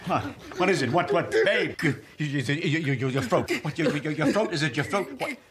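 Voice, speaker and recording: Halting, emotional old comedian, played by a man. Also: fairly high pitch, animated, noisy background, lightly acted. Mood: flustered, worried. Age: older. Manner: halting, stammering, repeats words. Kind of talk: radio-play style screen drama acting.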